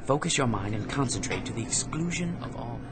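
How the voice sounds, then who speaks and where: An actor playing an obsessive office clerk who mutters to himself over a book and builds to manic fervor. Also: male voice, medium pitch, animated, fairly quick, heavy background noise, close to an everyday voice. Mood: absorbed. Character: obsessive middle-aged male office clerk. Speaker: lead actor in radio drama. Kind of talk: radio drama acting. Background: music.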